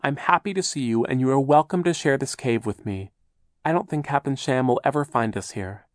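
A woman's voice: lively intonation, fairly quick, no room sound, clean studio sound. A man voicing a fussy, fearful old aunt. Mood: prim. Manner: high, fretful, scolding. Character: fussy fearful older woman guardian, pedantic about grammar. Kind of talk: audiobook character voice.

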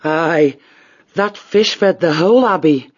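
A woman voicing a sturdy, warm-hearted female badger with a homely, practical manner in a storybook. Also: on the low side, takes it slowly, husky. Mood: fond. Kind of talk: dramatised storybook reading. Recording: slightly dull lo-fi audio.

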